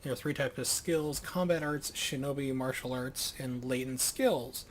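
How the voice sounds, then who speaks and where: A man plays through a game on stream and reads the on-screen tutorials aloud. He stumbles and corrects himself as he reads, with his voice at an ordinary middle pitch. A faint hum sits behind him. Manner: self-correcting, halting reading aloud. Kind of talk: thinking aloud while reading game tutorials.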